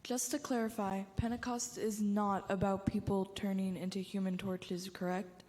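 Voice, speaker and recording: In a reverberant church hall, a young woman plays a teenage girl with a medium-pitched voice. She reads her part as the sarcastic skeptic of a reader's theater. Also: little pitch movement, normal speed, a little mellow, lightly acted. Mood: puzzled, skeptical. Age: teenager or young adult.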